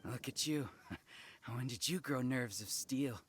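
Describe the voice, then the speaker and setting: A man's voice: medium pitch, a little slow, slight background noise, natural voice. Blunt, cocky soldier played by a man. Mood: teasing, boastful. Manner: blunt, teasing. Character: tough, cocky, combative male soldier, teasing. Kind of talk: animated video game acting.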